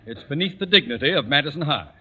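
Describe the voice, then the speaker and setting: A man's voice: a little high, expressive, fast, sharp tone, husky, muffled recording, heavily acted. A man playing a pompous, blustering middle-aged school principal who orates, in 1940s radio comedy style on an old recording. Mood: pompous, stern. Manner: pompous, oratorical.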